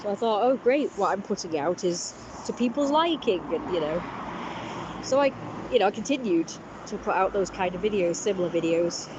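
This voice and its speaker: A woman vlogging casually with a blocked-up, congested voice. She talks in a halting, rambling way, full of restarts and fillers. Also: mid-range voice, lively intonation, background noise. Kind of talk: casual personal vlog talk.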